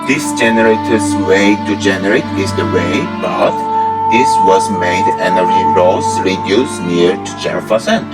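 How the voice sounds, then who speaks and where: An actor playing an enthusiastic research team leader in an amateur audio drama, speaking halting, stilted English. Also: low-pitched. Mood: proud explaining. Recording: music under the voice.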